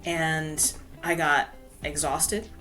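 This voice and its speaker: A woman's voice: low voice, level tone, extremely slow, pushed and bright, soft background music, poor audio. A woman from the United States, a guest author, telling her personal story in a frank, conversational way. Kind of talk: casual interview storytelling.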